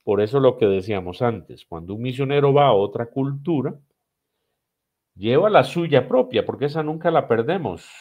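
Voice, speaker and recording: A man's voice: medium pitch, lively intonation, moderate pace, muffled recording. A man with a Costa Rican accent explaining things as a podcast guest, in a didactic way with short, emphatic, repeated phrases. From Costa Rica. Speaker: missions director, podcast guest. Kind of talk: explanatory teaching in a podcast conversation.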